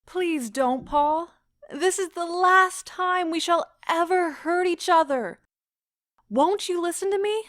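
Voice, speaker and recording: A woman playing an anguished, proud wife in an audio drama, speaking with deliberate emotional intensity. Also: very high-pitched, a bit bright, no echo, clean studio sound, full character voice. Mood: pleading. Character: anguished, proud wife pleading as her marriage ends.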